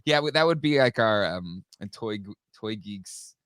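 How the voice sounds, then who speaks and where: A man's voice: lowish voice, expressive, moderate pace, fairly bright tone, dry recording, clean studio sound. A man in his thirties or forties co-hosting a live toy show, slightly nasal from a cold, chatty and upbeat with many fillers. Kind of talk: casual live-stream chat.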